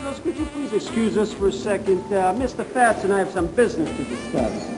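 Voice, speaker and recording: An actor playing a slick, oily crime boss in a video game cutscene, falsely genial and wheedling. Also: male voice, high-pitched, big pitch swings, brisk, music in the background. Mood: oily, welcoming.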